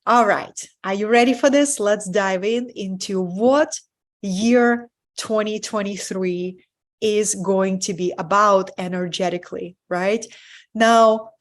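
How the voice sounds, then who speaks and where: A woman's voice: mid-range voice, takes it slowly. A middle-aged woman from Russia with a Russian accent, presenting a live webinar as a spiritual business mentor in an earnest way and checking in with listeners with "right?". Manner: warm, earnest, often asks "right?" to the audience.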